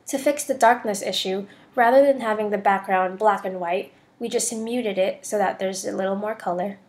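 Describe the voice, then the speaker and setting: Young woman, American accent, medium pitch, student presenter, scripted presentation voice-over. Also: moderate pace, darkish tone. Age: young adult.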